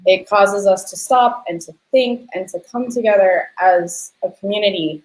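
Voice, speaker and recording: A woman's voice: mid-range voice, normal speed. Woman interviewer in a roomy space. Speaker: host and theatre lighting designer. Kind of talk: conversational interview.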